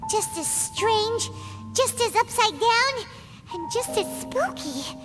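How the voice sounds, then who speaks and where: A woman voicing a small, excitable, childlike fairy companion in a video game, exclaiming dramatically. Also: extremely high pitch, takes it slowly, music in the background, heavily acted. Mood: curious, spooked. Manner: speaks of herself in third person, exclaiming, dramatic. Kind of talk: video game character acting.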